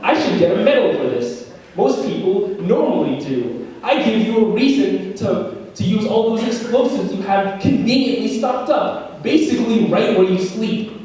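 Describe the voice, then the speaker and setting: Young guy doing slam poetry at a school, big dramatic swings loud to hushed, kinda high voice, super echoey and far from the mic. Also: lively intonation, moderate pace. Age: young adult. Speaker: slam poet. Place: school room.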